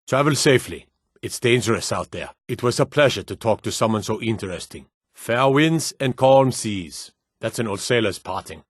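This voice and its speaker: A weathered Nordic townsman and guard for a fantasy video game. His voice is low and husky, recorded very dry and close. He is gruff and dutiful, speaking in a measured, weary, plain-spoken way. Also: no echo.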